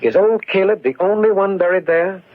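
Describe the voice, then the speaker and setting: A man playing a confident, inquisitive criminologist in a 1940s radio mystery, speaking in a measured, urbane, wry way. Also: sits high, animated. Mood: wry, probing. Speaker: radio actor. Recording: muffled 1940s radio recording with music.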